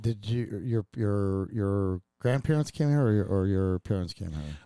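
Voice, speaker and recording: A man's voice: on the low side, a little slow, mellow, clean tone, dry recording, clean studio sound. A man hosting a casual podcast chat, joking around and rambling with lots of fillers and restarts. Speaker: podcast host. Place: studio.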